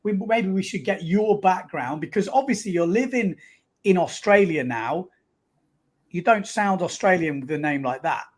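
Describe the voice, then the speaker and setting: A man's voice: high-pitched, animated, moderate pace. A man in his forties co-hosting a podcast, chatty and enthusiastic, asking loose rambling questions. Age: 40s. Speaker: podcast co-host. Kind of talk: casual podcast chat.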